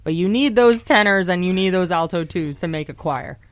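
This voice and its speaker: A woman's voice: fairly low pitch, animated, a little fast, no echo. A woman co-hosting a casual radio chat, eager and upbeat.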